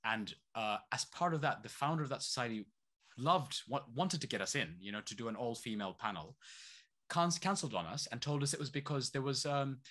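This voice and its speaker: A man's voice: mid-range voice, expressive, fairly quick, pushed and bright. A man who grew up between Saudi Arabia and East London, talking animatedly as a guest, firing off rhetorical questions. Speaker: activist guest. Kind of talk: conversational interview.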